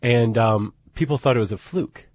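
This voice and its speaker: A man's voice: mid-range voice, moderate pace, no echo. An American man co-hosting a podcast, chatting casually with wry, conversational comments and prompts. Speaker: podcast co-host.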